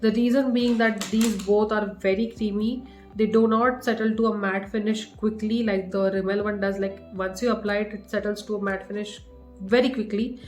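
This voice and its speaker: A woman's voice: medium pitch, fairly even intonation, moderate pace, a little mellow. Groggy-voiced Indian woman reviewing makeup. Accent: Indian English. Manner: chatty, with many fillers and restarts. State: groggy voice from a cough. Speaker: beauty vlogger. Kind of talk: casual product review to camera. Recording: echoey room with faint music.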